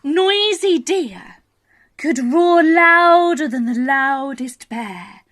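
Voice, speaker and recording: A woman reading a children's bedtime story expressively, with dramatic pauses and emphasis. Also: sits high, expressive, fairly bright tone.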